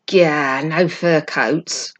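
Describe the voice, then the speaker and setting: A woman voicing a crude, bullying young male skinhead burglar from a storybook, with rough slangy speech. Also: deep voice, steady tone, very slow. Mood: disappointed.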